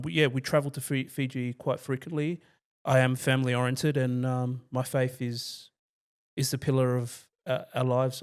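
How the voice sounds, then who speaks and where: An Australian man, a financial planner guest, giving relaxed, down-to-earth interview answers with frequent restarts. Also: medium pitch, clear, resonant tone.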